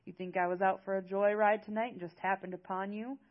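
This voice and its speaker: Woman voicing a gruff, folksy old country man. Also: high-pitched, fairly even intonation, normal speed, phone-quality sound. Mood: storming mad. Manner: folksy drawl with rustic grammar. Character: gruff rural old man, smoker, grumbling but protective.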